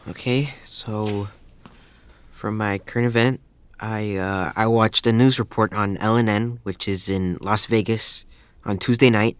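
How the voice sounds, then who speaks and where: A man playing an earnest, nervous student who presents haltingly to a class in an audio drama. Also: fairly low pitch, fairly even intonation, clean tone, dry recording, close to an everyday voice. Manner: halting, stumbling, hesitant.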